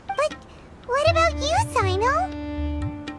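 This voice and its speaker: A woman voicing a tiny, excitable, childlike floating companion in a video game, with a squeaky voice. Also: slow, music in the background, heavily acted. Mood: concerned. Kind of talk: English video game voice acting.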